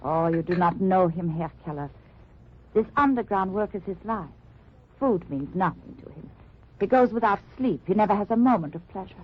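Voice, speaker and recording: Underground fighter's wife, radio drama: secretive, weary, formal, earnest; dull old recording. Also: female voice, medium pitch, animated, normal speed, slightly rough. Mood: bitter, resigned. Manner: careful, formal, earnest phrasing. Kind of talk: 1940s-50s radio drama acting. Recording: muffled, noisy old-time radio recording.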